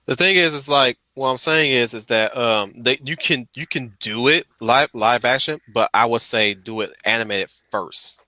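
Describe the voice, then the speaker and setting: A man's voice: mid-range voice, normal speed, few pauses, sharp tone, no room sound, low-quality recording. A man chatting casually on a stream in an emphatic, slangy way, often repeating his phrases. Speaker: stream host. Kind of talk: casual chat about films.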